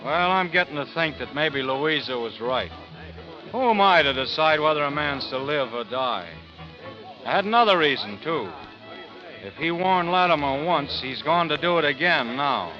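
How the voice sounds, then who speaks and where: An actor playing a weary, steely frontier gunfighter in old-time radio drama style, sometimes hesitant, sometimes terse. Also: male voice, a little high, animated, normal speed, close to an everyday voice. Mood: firm, resigned. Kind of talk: 1940s radio acting. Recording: muffled old radio broadcast, faint music.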